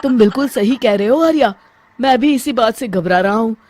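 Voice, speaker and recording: An actor playing a timid, nervous village man afraid of ghosts in an animated Hindi story. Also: very high voice, brisk. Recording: faint background noise.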